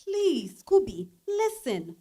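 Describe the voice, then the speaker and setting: High-pitched, animated Ghanaian working mother played by an actress. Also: slow, full character voice. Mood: exasperated. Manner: emphatic, exasperated reasoning. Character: harried, persistent working mother and wife, pleading practicality. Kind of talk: dramatised stage reading.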